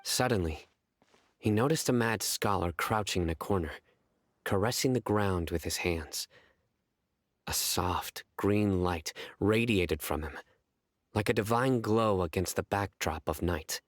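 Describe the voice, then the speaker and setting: An actor voicing a composed, scholarly investigator in a video game, speaking in a formal, measured, articulate way. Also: male voice, low-pitched, dry recording, studio quality. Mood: solemn, storytelling. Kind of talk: scripted video game voice acting.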